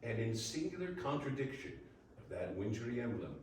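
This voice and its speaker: A man giving a dramatic, deliberate narration of a classic ghost story in a theatrical manner. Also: mid-range voice, sing-song, a little mellow. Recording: very echoey room, distant mic, faint noise.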